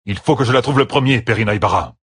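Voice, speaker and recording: A man's voice: medium pitch, quick pace, a bit bright, husky, no room sound, clean studio sound, voice pushed up. A man voicing a fierce, determined desert warrior in an audiobook, terse and intense.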